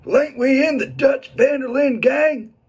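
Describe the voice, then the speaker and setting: A man voicing an earnest, exasperated young cowboy gunslinger from a western video game parody, with a raspy, loud drawl. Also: extremely high pitch, unhurried. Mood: curious.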